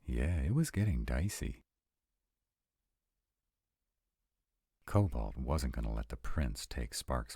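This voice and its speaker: A man acts out a young male vampire, sardonic but troubled, in an intimate audio roleplay. His voice sits extremely deep, husky and mellow. He confides in a casual, sweary, hesitant way. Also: no echo, clean studio sound, heavily acted. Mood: tense, dismayed.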